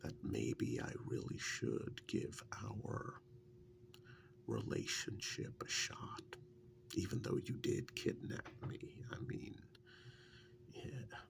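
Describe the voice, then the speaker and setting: A man acts out a wry, fond lover in an intimate ASMR role-play. His low voice is heavily creaky and often sinks into a breathy whisper. He muses haltingly, with soft, affectionate irony. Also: sing-song, full character voice. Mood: wry, reflective. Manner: halting, musing, unhurried. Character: wry, affectionate kidnap victim fondly reminiscing to partner.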